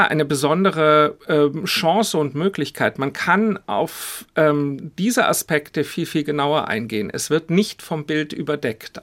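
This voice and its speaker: A man's voice: on the high side, a bit bright. A man giving thoughtful interview answers as a radio drama director, reflective and often searching for words.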